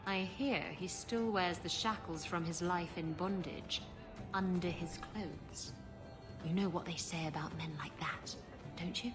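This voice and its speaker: A woman's voice: on the low side, unhurried, over music, lightly acted. An actress playing a playful, bawdy female rogue with a teasing, suggestive manner in a fantasy game. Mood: sly, flirtatious.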